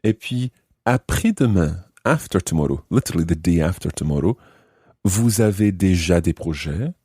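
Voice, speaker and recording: A man's voice: deep voice, dark tone, husky, no room sound, clean studio sound. A man teaching a language lesson, explaining and translating phrase by phrase with careful enunciation. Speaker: language teacher, podcast host.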